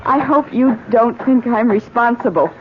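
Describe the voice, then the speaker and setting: An actress playing an anxious, guilt-ridden wife in a radio drama, speaking earnestly with faltering hesitation. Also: female voice, fairly high pitch, moderate pace, natural voice. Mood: apologetic. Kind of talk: 1970s radio drama acting. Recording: dull, noisy old radio broadcast.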